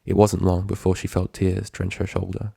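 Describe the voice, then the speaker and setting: A man's voice: sits low, monotone, moderate pace, creaky, warm tone, slightly rough, no echo, studio quality. A man reading a story aloud as a narrator, in scripted literary prose. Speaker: fan fiction narrator.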